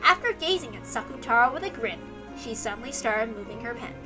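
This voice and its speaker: A woman's voice: a little high, animated, a little fast, over music. A woman reading a story aloud as scripted narration. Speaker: reader.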